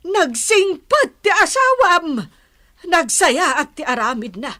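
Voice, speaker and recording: Elderly Ilocano mother, scolding and worried, bright forceful voice, dry studio sound, radio drama. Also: extremely high pitch, very animated, slow, no room sound, full character voice. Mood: admonishing.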